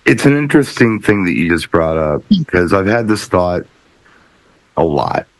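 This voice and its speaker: Low-voiced man, dry close sound. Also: sing-song, normal speed, no room sound, poor audio. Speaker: talk show co-host. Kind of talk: casual panel chat about music.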